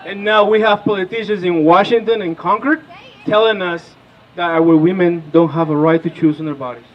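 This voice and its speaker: A man's voice: high voice, steady tone, normal speed, muffled recording, a little hiss or hum. A man in his thirties from Peru, giving an impassioned rally speech as a political organizer and asking the crowd questions. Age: 30s. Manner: rousing, asks the crowd questions. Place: outdoor rally.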